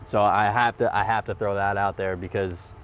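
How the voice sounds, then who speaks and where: A man's voice: fairly low pitch, steady tone, moderate pace, a bit bright, a little hiss or hum. A man in his thirties talking earnestly and reflectively in a casual interview, often repeating his phrases. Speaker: guest, mortgage business owner. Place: outdoors by a parked car.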